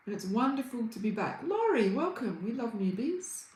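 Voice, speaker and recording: A woman's voice: fairly high pitch, sing-song, moderate pace, a little mellow, reverberant. An Australian woman, a Reiki healer hosting a casual livestream, chatting and greeting viewers by name.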